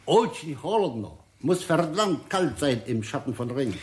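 Blunt Russian scientist, highish lively voice, broken halting German, thick Russian accent, jolly, radio drama. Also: male voice. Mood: amused insistence. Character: jolly, blunt Russian gas dynamics scientist, Pjotr Alexandrow. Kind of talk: 1960s radio play acting.

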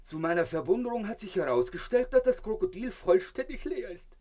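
A man's voice: high-pitched, very animated, a little fast, warm tone. An actor in a radio play voicing a vain, blissfully self-satisfied man who lectures pompously from inside a crocodile. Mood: smug, lecturing. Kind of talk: theatrical radio play acting.

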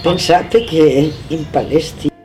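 A man sharing a personal recollection in a halting, emotional, conversational way. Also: on the high side, darkish tone, soft background music. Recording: noisy ambience with birdsong.

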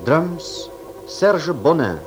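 A man's voice: fairly high pitch, big pitch swings, drawn-out words, background noise. A man announcing the title and credits of a radio detective serial in a scripted style.

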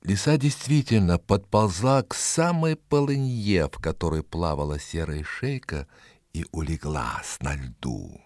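A man narrating a children's animal story in an expressive, scripted storytelling style. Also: deep voice, measured pace, dry recording, clean studio sound.

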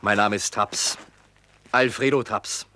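A man's voice: lowish voice, steady tone, takes it slowly, pushed and bright, a little hiss or hum. An actor playing a breezy, self-satisfied male travelling salesman in a radio drama, speaking in casual, clipped phrases. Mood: polite, surprised. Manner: casual, clipped musing to himself. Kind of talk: German radio drama acting.

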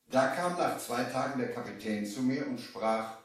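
An actor playing a young merchant who recounts his sea adventure with measured drama in a children's radio play. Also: medium pitch, animated, distant mic, natural voice. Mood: measured storytelling. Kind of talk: 1970s German children's radio play narration. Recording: roomy, echoing old vinyl radio play.

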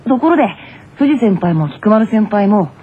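A woman's voice: mid-range voice, sing-song, normal speed, husky. A woman voicing a cool, cheeky junior schoolboy tennis player in an anime radio drama. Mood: cool, casual. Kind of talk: anime character radio announcement. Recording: tinny narrow-band radio, faint noise.

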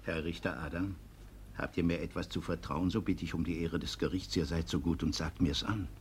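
An actor playing a stern court inspector in a 1950s radio play, questioning in a measured, formal way. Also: male voice, sits low, fairly even intonation, a little hiss or hum, close to an everyday voice.

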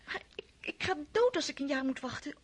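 A woman's voice: high-pitched, big pitch swings, brisk. An actress playing an impulsive, passionate young noble girl who whispers and pleads in an old radio drama. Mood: distraught.

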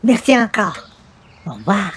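A man's voice: high voice, sing-song, unhurried, slight background noise, voice pushed up. A man voicing a meek, courteous, solemn religious caller in a radio comedy, formal and humble. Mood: grateful. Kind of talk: old-time radio comedy acting.

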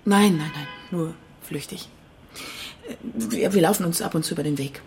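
Anxious lowish-voiced banker's wife. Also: female voice, vocal fry, music in the background. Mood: hesitant, evasive. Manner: hesitant, halting. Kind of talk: German crime radio drama acting.

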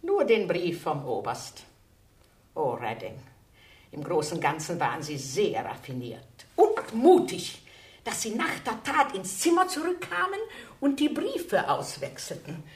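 A woman's voice: on the low side, very animated, a little slow, reverberant. An actress playing a persistent, shrewd older woman detective in a radio mystery, pointed and emphatic. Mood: probing. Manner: probing, pointed, theatrically emphatic. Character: shrewd, persistent older female amateur sleuth. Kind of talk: German radio crime drama acting.

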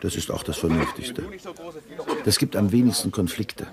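An actor playing a weary, resigned middle-aged bureaucrat of the future in a 1970s German radio play, dry and grumbling. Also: male voice, low voice, moderate pace, a little mellow. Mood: subdued, resigned.

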